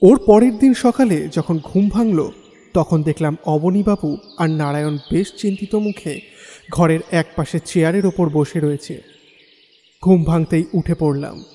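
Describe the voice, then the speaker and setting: A man's voice: a little high, unhurried, dark tone. An actor playing a troubled young man who narrates his own ghostly tale in a dramatic audio story. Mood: suspenseful recounting. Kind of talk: dramatic first-person horror story narration. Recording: long reverb, faint music bed.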